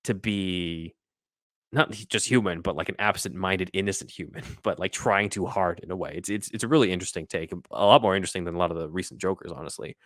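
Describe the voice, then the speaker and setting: A man with a deep voice co-hosts a casual pop culture podcast. He talks in a rambling, enthusiastic fan's manner. The sound is dry, with hardly any room to it. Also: quick pace, a bit bright, no room sound. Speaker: podcast co-host.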